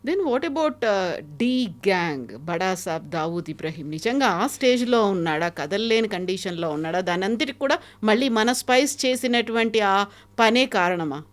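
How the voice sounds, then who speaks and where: Woman interviewer with medium-low pitch. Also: lively intonation, measured pace. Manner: mixes English words into Telugu. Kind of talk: interview questioning.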